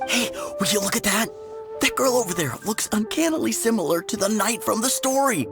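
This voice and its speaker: A man's voice: high voice, lively intonation, a little fast, over music. Skeptical know-it-all townsman. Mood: surprised. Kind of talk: video game voice acting.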